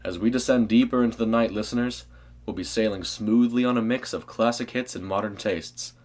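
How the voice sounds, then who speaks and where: A man playing a late-night radio host in an audio story, greeting listeners with smooth, polished announcer patter. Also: lowish voice, brisk, darkish tone, close to an everyday voice. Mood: smooth, welcoming. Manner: welcoming, polished presenter address.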